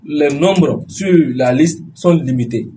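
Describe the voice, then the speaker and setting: Male political debater, Beninese French accent, higher-than-average voice, insistent and repetitive, slightly noisy recording. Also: takes it slowly, dark tone. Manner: insistent, repeats phrases to hold the floor. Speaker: political party activist debating as a guest.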